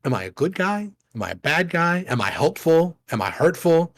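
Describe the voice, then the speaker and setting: A man's voice: fairly high pitch, very animated, normal speed. A man in his thirties co-hosting a podcast in casual chat, rambling and profane, often laughing at himself. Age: 30s. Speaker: podcast co-host.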